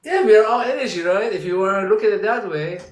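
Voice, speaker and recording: A man's voice: high voice, quick pace. A man calling into a live debate, arguing insistently and rambling as he talks over others. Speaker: debate caller. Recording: echoey room sound.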